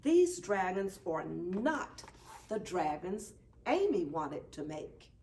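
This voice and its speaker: A woman reading a children's picture book aloud at story time, expressive and deliberate. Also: on the low side. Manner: deliberate, animated. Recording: distant mic, faint background noise.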